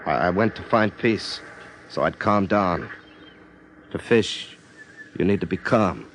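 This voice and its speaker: An actor playing a troubled, withdrawn and fearful fisherman, halting and guarded, in 1950s radio drama style. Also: low-pitched, lively intonation, fairly bright tone, full character voice. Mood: anxious, defensive. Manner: halting, guarded, anxious delivery. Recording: noisy old radio recording.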